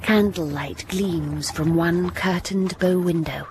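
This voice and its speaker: An actress playing a reserved young governess, narrating in a soft, restrained voice in a radio drama. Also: female voice, fairly low pitch, slow pace, noisy background. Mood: reflective. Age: young adult. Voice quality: soft, restrained, often near a whisper. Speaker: narrator and lead. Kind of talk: first-person drama narration. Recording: narration over music score.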